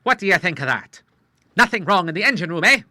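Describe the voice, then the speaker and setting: A man voicing a blunt, hearty elderly admiral who talks in loud, emphatic sea slang. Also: sits high, big pitch swings, fairly quick, no room sound, clean studio sound, pitched above the performer's own voice, full character voice. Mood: triumphant. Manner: gruff nautical talk, loud and emphatic. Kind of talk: audiobook character voice.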